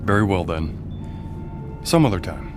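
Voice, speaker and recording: Low-voiced, gentle widowed father. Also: sing-song. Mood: gentle, subdued. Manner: measured, restrained, reassuring. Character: gentle, weary widowed father of three children. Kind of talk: radio drama acting. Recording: studio radio play with music underneath.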